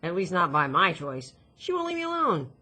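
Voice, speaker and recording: A woman's voice: lowish voice, expressive, normal speed, slightly rough. A woman voicing an anxious, bookish girl who is guarded and self-critical in a storybook reading. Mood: guarded, curt.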